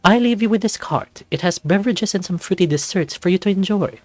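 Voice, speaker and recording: A man's voice: high voice, brisk, darkish tone, voice pushed up. A man voicing a flamboyant, gracious snow leopard who hosts guests at a resort in a theatrical, courtly way, in a visual novel. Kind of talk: visual novel character acting.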